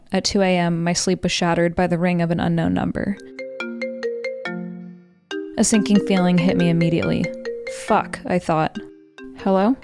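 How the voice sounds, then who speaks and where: An American woman in her twenties reads a scripted first-person story in a measured, reflective way, as a narrator. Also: on the low side, vocal fry, a little mellow. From Colorado, United States. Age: 20s. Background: music.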